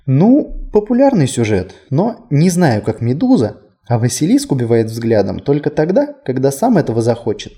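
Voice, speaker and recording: A whimsical male city guide as a man reads him in an audiobook, chatting playfully and poking fun at himself. The voice is mellow and mid-pitched, with lively swings of intonation. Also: moderate pace. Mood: playful storytelling. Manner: conversational, playful, self-deprecating. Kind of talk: audiobook character dialogue.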